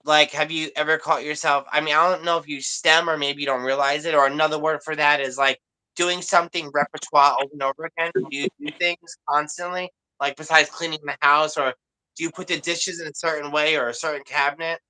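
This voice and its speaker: Bright-voiced woman talking candidly. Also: low voice, steady tone, fairly quick, low-quality recording. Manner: candid, rambling, emphatic. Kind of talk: casual chat.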